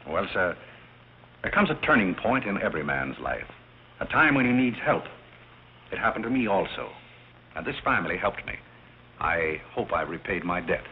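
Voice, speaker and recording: Courteous, composed butler, 1930s screen comedy, deep and measured, narrow hissy old soundtrack. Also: male voice, very animated. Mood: gracious. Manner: polite, measured, understated. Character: courteous, composed, wise butler of privileged birth. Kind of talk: 1930s film comedy acting.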